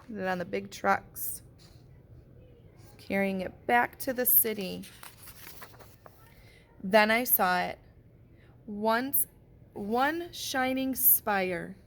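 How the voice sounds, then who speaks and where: A woman, a teacher, reading a picture book aloud and pausing to point out what the pictures show. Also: mid-range voice, slight background noise. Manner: gentle, slow storybook delivery with pauses.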